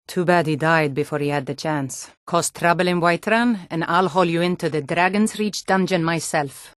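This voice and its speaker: Actress as a low-voiced, hardy fantasy townswoman. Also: dry recording, clean studio sound, natural voice. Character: hardy, no-nonsense Nord townswoman and guard of a fantasy world. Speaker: video game voice actor. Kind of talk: scripted fantasy game dialogue.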